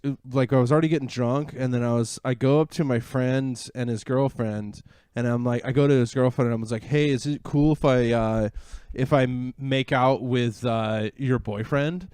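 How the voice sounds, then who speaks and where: A man co-hosting a casual podcast, telling a rambling, halting story. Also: medium pitch, fairly even intonation, darkish tone, clean tone, dry recording, clean studio sound. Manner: rambling, halting, many fillers. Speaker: podcast co-host. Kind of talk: casual chat.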